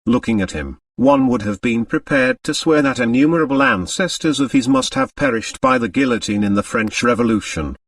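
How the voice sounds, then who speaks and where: Male audiobook narrator, literary storytelling, lively mid-range voice, dry and slightly distant recording. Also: mid-range voice, brisk, hardly any pauses, dry recording.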